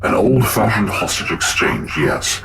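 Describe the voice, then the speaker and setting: A man's voice: fairly low pitch, very animated, unhurried, vocal fry, heavily acted. A man playing an imperious robot leader with a commanding, declamatory delivery in a sci-fi drama. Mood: briefing. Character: imperious, gruff male robot leader of a new government. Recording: loud background ambience noise.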